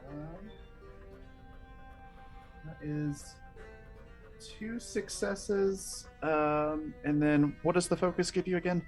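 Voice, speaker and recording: A man's voice: on the high side, steady tone, takes it slowly, long pauses. A man playing a tabletop role-playing game, talking casually as he works through his rolls. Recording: faint music behind the voice.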